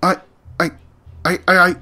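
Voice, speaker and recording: High, stammering nervous clockmaker. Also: male voice, flat delivery, normal speed, sharp tone, soft background music, exaggerated voice. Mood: flustered. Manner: stammering, protesting. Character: nervous, flustered male clockmaker pony. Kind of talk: audio drama acting.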